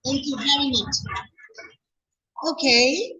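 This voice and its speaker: Woman leading a workshop, encouraging, high voice, echoey room. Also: slow pace, very noisy. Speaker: workshop leader. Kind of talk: running a teacher workshop. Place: large classroom or hall.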